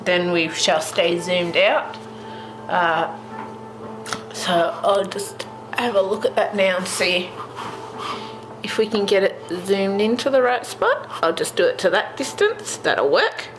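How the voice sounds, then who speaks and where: A young Australian woman with a stuffy, congested voice, rambling casually through a colouring tutorial at home, with lots of fillers. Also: mid-range voice. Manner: rambling, with many fillers and restarts. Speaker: colouring channel host. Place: at home, in front of a heater. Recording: echoey room with background noise.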